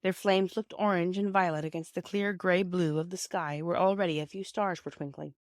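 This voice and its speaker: A woman's voice: lowish voice, steady tone, normal speed, no echo. British woman narrating, very dry close sound. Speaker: audiobook reader. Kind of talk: scripted storybook narration.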